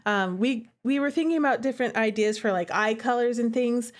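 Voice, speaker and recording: A woman with a fairly high voice chats casually as she streams. She is expressive and giggly, talking through her own game like a developer sharing notes. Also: brisk.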